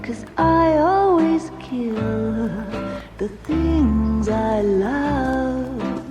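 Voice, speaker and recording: A woman singer performing a slow jazz club song. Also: high-pitched. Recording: loud music behind the voice.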